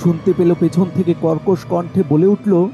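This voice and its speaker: A man's voice: sits high, fairly even intonation, moderate pace, music in the background. A man narrating a scripted horror story as the storyteller.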